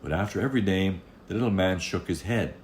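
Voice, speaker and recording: A man telling a fairy tale aloud as an expressive storyteller. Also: low voice, fast.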